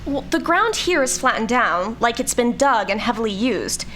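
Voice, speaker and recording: A woman playing a snarky, brisk scientist in an audio drama, with a dry, instructing manner. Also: fairly high pitch, animated, a bit bright, slight background noise. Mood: curious, focused. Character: snarky, brisk female scientist exploring a planet.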